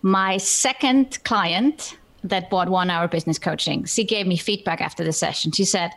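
A woman's voice: lowish voice, normal speed, a bit bright. A middle-aged woman from Iceland speaking English with an Icelandic accent. She is a guest on a podcast interview, telling her story in a plain, matter-of-fact way. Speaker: guest entrepreneur.

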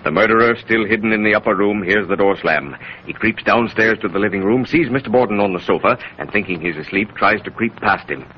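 A man's voice: fairly low pitch, brisk. Solemn male narrator, muffled old radio. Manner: solemn, oratorical, emphatic. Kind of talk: 1930s dramatic radio narration, scripted. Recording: muffled, hissy 1930s radio recording.

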